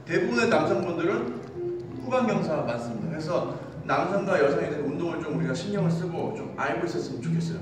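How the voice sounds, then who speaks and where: Man in his thirties, fitness coach, fairly high voice, echoey gym, far from the camera. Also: fast, a crowd in the background. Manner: animated, demonstrating with gestures while talking. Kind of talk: explanatory exercise lesson. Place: large indoor gym.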